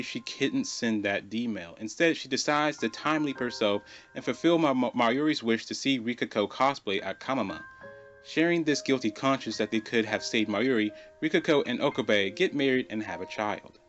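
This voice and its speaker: A man's voice: medium pitch, normal speed, fairly bright tone, lo-fi sound, soft background music. A man hosting a video, giving an informal, conversational explainer commentary and repeating phrases for emphasis. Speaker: video host.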